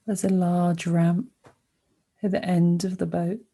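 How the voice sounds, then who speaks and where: A woman guiding a sleep meditation with soothing narration, speaking gently and unhurriedly with pauses between short phrases. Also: fairly low pitch, flat delivery. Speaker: meditation guide.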